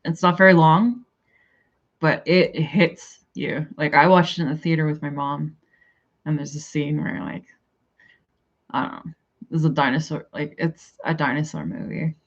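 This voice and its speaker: A woman's voice: low voice, fairly even intonation, measured pace, long pauses, lo-fi sound. A woman with a Canadian accent, chatting casually and rambling to her viewers on a livestream. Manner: rambling, chatty, thinks aloud and answers chat. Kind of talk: casual live chat.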